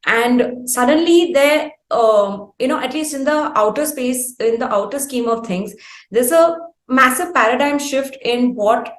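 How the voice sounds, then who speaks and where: A woman with an Indian accent interviewing at length, phrasing her questions in a wordy, halting, reflective way. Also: high voice. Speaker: interviewer, host. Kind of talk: conversational long-form music interview. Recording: echoey, roomy sound.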